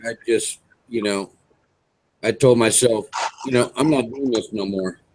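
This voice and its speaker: A man in his fifties hosting a casual online video hangout, chatting in an easygoing way with drawn-out fillers over a computer mic. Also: medium pitch, noisy background. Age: 50s. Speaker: host.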